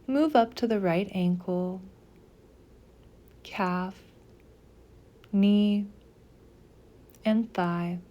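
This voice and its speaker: A woman leading a guided meditation as a scripted practice, speaking softly and gently in deliberate phrases with pauses. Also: mid-range voice, lo-fi sound.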